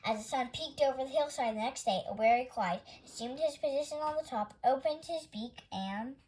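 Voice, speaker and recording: A woman reading a children's picture book aloud as expressive storytime narration. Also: high-pitched, a little fast, a little hiss or hum. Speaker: storytime reader.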